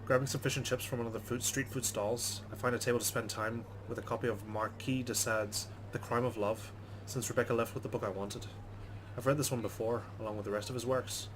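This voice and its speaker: A man's voice: medium pitch, steady tone, brisk, noisy background. A man hosting a let's play, reading game narration aloud with casual commentary.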